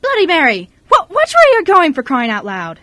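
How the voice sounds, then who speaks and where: A woman's voice: very high voice, wildly swooping pitch, normal speed, fairly bright tone, no echo, voice pushed up, full character voice. A woman playing a bratty, snobbish, easily exasperated wealthy teenage girl in an amateur radio play. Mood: indignant.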